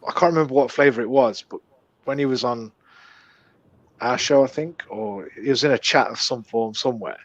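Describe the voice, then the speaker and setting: A man co-hosting a podcast, chiming in enthusiastically with quick remarks. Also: medium pitch, lo-fi sound. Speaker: podcast co-host. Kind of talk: casual podcast chat.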